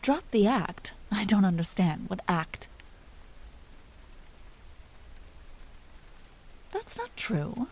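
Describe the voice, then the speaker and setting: An aristocratic vampire duchess, acted by a woman for a roleplay audio drama, speaks in a soft, dark voice with a breathy edge. She is imperious and teasing, slipping into hushed confidences that show a tender side. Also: medium pitch, big pitch swings, measured pace. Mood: earnest.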